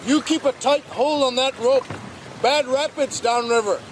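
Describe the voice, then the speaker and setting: A man playing a bold, rough-and-ready gold prospector in a radio drama, brisk, commanding and teasing. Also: very high-pitched, background noise.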